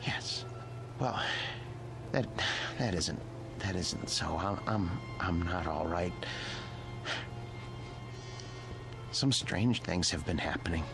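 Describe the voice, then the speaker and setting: An actor playing a lonely, devoted wax museum caretaker in an audio drama, hesitant and pleading, with a breathy voice that often drops to a whisper. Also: male voice, deep voice, takes it slowly, over music, full character voice. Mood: uneasy, confiding.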